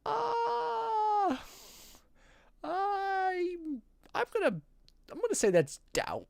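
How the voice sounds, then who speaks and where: Animated streamer reading a visual novel aloud. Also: very high-pitched, extremely slow, vocal fry, a loud crowd. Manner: theatrical, playful, stumbles over read text. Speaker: game streamer.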